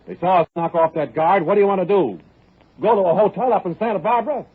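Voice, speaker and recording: An actor in a 1940s radio drama playing a hard-bitten male robber on the run, who also narrates in a gruff, clipped tough-guy manner. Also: high-pitched, fast. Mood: heated. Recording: tinny lo-fi 1940s radio broadcast with faint hiss.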